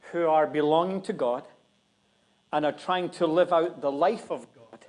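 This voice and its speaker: A man's voice: a little high, a little mellow. A man with a Scottish accent, a guest preacher giving a joking, chatty sermon in a church. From Scotland. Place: church sanctuary.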